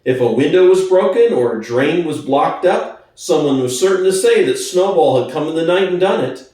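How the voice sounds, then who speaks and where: A man's voice: on the high side, lively intonation, moderate pace, darkish tone. A man reading a classic novel aloud as a dramatic scripted narration. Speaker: audiobook narrator. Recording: very echoey room, distant from the mic.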